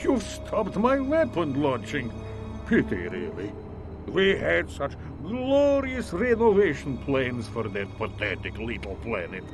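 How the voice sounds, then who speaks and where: A man's voice: medium pitch, very animated, slow, over music. An actor playing a grandiose, gloating video game villain, theatrical, pompous and condescending in the way he monologues. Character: gloating, grandiose male villain with fiendish schemes. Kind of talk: video game cutscene acting.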